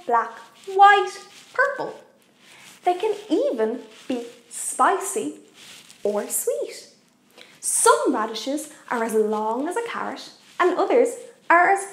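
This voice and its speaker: Animated young woman teaching kids. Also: extremely high pitch. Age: young adult. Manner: animated, expressive, deliberate for kids. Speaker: kids' cooking show host. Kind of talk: children's educational presenting. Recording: echoey room.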